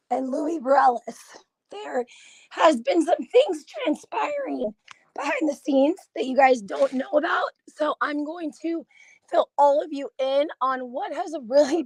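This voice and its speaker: A woman hosting a casual live stream, hoarse from laryngitis, chatting in a confiding way and often clearing her throat. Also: sits high, poor audio. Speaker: gossip channel host.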